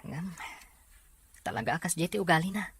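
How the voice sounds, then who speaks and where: A woman acting a frank, protective young male cousin with a husky voice in a drama serial. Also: on the low side, expressive, fairly quick, slight background noise. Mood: sympathetic, frank.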